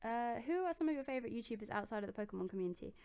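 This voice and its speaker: A young woman in her bedroom, answering viewer questions in a relaxed, chatty way, with plenty of ums and restarts. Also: fairly high pitch, racing, clean tone. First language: English. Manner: chatty and relaxed, with many ums and self-corrections. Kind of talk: casual chat.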